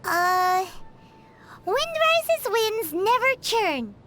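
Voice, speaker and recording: A woman voicing a tiny, cheeky, excitable fairy companion in a video game, with a squeaky childlike voice and a cutesy manner. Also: extremely slow, exaggerated voice. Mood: playful. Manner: cutesy, refers to self in third person. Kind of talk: video game character voice lines.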